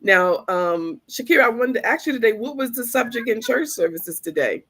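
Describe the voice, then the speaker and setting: A woman hosts a podcast in a casual, chatty way. Her voice sounds a little congested from the flu, and she talks with animated energy. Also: on the high side, brisk, slightly rough. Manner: chatty, animated storytelling with laughs. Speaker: podcast host.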